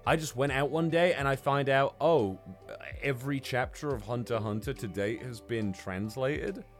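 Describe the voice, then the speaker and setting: A man's voice: medium pitch, moderate pace, soft background music. A man chatting casually as a podcast co-host, enthusiastic, informal and sweary. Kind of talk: casual chat, fan discussion.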